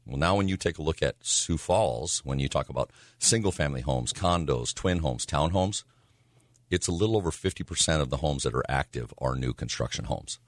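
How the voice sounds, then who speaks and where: A man with a hoarse, raspy voice, talking casually as a real estate agent on a radio show, rambling with lots of fillers. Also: extremely low pitch, animated, brisk, no room sound, studio quality. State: hoarse from yelling.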